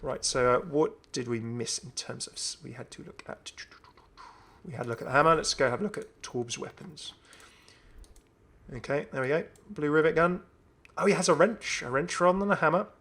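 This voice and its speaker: A man's voice: fairly high pitch, moderate pace, vocal fry, a bit bright. A man hosting a gaming video, commenting casually and enthusiastically as he thinks aloud. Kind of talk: casual game update commentary.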